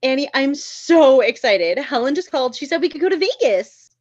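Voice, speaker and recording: Woman putting on gushing, excitable wife, raised pitch. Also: normal speed, muffled recording. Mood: excited, nervous. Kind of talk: live comedy screenplay table read.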